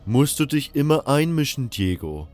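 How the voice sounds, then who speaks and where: A man's voice: medium pitch, very animated, moderate pace, a little mellow, faint music behind, pitched above the performer's own voice, close to an everyday voice. Man playing a snarling, aggressive prison thug. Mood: annoyed, scornful. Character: sneering, violent male prison-colony bully. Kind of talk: fantasy audio drama acting.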